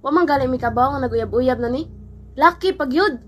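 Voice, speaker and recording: A woman's voice: high voice, a little fast, lightly acted. A woman voicing an upset, disapproving mother with a Cebuano accent in a radio drama. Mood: upset, scolding. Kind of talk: amateur radio drama acting.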